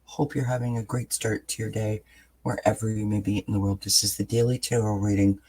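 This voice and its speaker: Male tarot reader, low husky register, raspy from just waking; soft-spoken, unhurried, filler-heavy delivery. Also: rough recording. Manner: soft-spoken, relaxed, meandering with many fillers. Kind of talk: gentle daily tarot reading. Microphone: camera mic, above-view camera.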